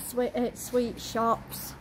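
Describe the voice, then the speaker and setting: A woman vlogger narrating a walk outdoors along a shopping street, halting as she lists what she passes. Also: a little high, completely flat delivery. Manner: halting, listing shop names as seen. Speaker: travel vlogger. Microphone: handheld camera while walking. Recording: loud street and traffic noise.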